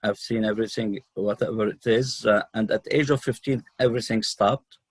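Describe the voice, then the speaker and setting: A middle-aged man with a Lebanese accent speaks in a fairly low voice, recorded dry with little room sound. He talks off the cuff as an interview guest, halting and pausing mid-sentence as he recounts his own experiences. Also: steady tone, husky, dry recording. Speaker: guest, numerologist and healer. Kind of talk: unscripted personal storytelling in an interview.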